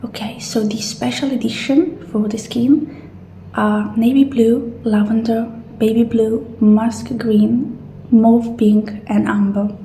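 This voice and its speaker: A woman doing a soft-spoken ASMR roleplay as an assistant, often dropping into a whisper, with gentle, reassuring guidance. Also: fairly high pitch, little pitch movement, takes it slowly. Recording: roomy echo, faint background noise.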